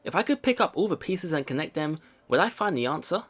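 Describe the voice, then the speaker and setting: A man's voice: on the high side, sing-song, fast, fairly bright tone. A man hosting a let's play, reading game narration aloud and reacting casually. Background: game music.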